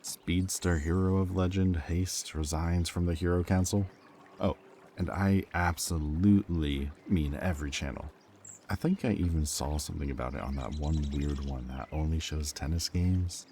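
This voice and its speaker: Casting: man as wry ex-villain friend, bottom-of-range dark voice, conversational and earnest, some noise behind. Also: extremely low pitch, fairly even intonation, moderate pace. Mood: playful teasing. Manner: casual, teasing, earnest. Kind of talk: roleplay audio drama acting, conversational.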